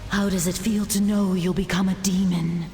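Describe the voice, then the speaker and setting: A woman's voice: mid-range voice, flat delivery, moderate pace, darkish tone, natural voice. A woman playing a cold, scheming villainess. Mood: scornful. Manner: cold, scornful. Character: cold, manipulative female villain. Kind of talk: game cutscene voice acting. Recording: echoey with long reverb and music.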